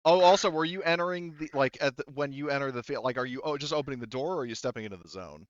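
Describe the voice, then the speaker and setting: A man with a smooth, clear voice runs a tabletop roleplaying session. He talks informally, exclaiming as he narrates action and rules. The sound is dry and a little muffled. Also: medium pitch, racing, dry recording. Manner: informal, exclamatory, swears freely. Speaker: game master. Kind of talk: casual tabletop game narration and rules adjudication.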